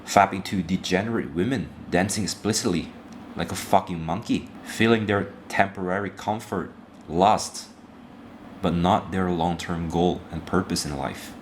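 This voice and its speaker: A young man lectures his viewers in a blunt, profane rant, calling them "bro". His voice sits low, and a faint hiss runs under it. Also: normal speed. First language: Indonesian. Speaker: self-improvement vlogger.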